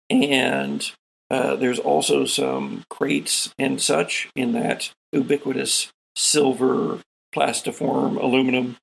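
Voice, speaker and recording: Gravelly, unhurried man narrating a game. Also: medium pitch, low-quality recording. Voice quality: gravelly, husky. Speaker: game master and host. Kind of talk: narrating a tabletop role-playing game.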